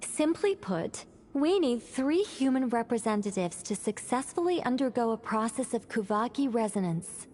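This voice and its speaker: A woman's voice: a little high, expressive, normal speed, few pauses, darkish tone, a little hiss or hum, lightly acted. An actress playing a self-assured scholarly woman in a video game, formal and precise as she explains at length. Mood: explanatory.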